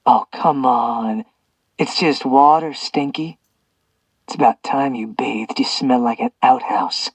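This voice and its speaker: A man's voice: medium pitch, fairly even intonation, takes it slowly, husky, dry recording, recorded from a distance, poor audio. A man reading an audiobook, voicing a cruel, taunting male captor who drips with venom and drags out his mocking words. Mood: venomous taunting. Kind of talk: audiobook character voice.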